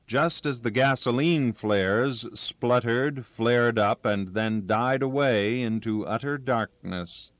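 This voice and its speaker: A man's voice: medium pitch, slow, hardly any pauses, no room sound. A man reading a story aloud as scripted audiobook narration. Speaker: audiobook reader. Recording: dull, lo-fi, dry recording.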